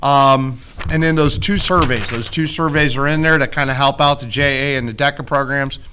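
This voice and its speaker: A man whose voice is starting to go hoarse gives informal school announcements, rallying listeners with check-in questions. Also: mid-range voice, fairly even intonation, normal speed, pushed and bright. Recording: clearly noisy background.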